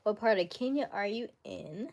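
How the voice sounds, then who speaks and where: A woman hosting a casual live stream, chatting playfully with viewers, laughing often and dropping to a soft whisper as she reads comments. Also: medium pitch, normal speed, vocal fry. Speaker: livestream host.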